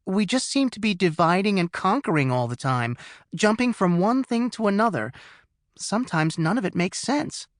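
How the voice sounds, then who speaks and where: A man's voice: high-pitched, animated, normal speed, dry recording, clean studio sound, pitched above the performer's own voice. A male narrator voicing a stressed young adult daughter who talks in an earnest, worried way, in an audiobook. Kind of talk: audiobook character voice.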